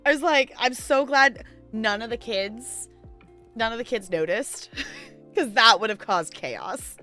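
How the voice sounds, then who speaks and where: A woman's voice: on the high side, lively intonation, a little slow, pushed and bright, faint music behind. A woman live-streaming, chatting casually with her viewers in a rambling, giggly way.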